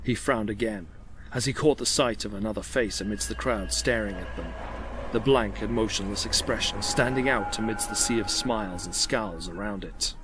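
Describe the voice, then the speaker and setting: A man's voice: on the low side, moderate pace, background noise. A man narrating an audio drama with scripted, dramatic storytelling delivery. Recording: loud music behind the voice.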